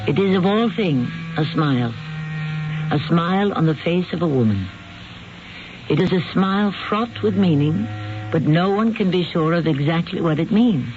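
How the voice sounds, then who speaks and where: A male radio drama host with a high-pitched voice delivers a scripted introduction. His phrasing is portentous and deliberate. The old recording is tinny and noisy. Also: expressive.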